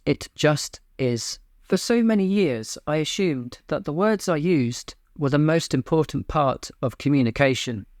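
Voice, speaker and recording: Deadpan monotone man, dry and close. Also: fairly high pitch, a little slow, hardly any pauses, dry recording. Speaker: YouTube channel host. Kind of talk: scripted personal monologue. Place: home.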